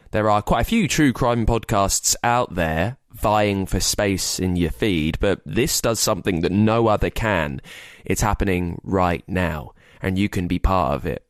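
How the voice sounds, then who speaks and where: A man's voice: low-pitched, no echo, clean studio sound. A man speaking as a podcast host, delivering a scripted but conversational introduction in a chatty, informal way.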